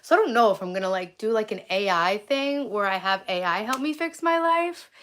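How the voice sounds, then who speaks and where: A woman in her forties from Long Island, chatting on a live stream outdoors under a tree. She talks in a rambling way with lots of "like", has vocal fry, and sounds sniffly from a cold. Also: medium pitch, animated, a little fast, fairly bright tone, a little hiss or hum. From Long Island, New York. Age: 40s. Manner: rambling, heavy use of "like". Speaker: livestream vlogger. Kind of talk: casual live stream chat with viewers.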